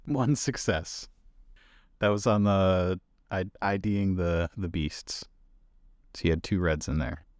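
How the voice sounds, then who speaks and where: Deep-voiced man playing a tabletop game. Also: takes it slowly, pauses often, a little mellow, no echo, clean studio sound. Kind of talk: casual in-game narration and table talk.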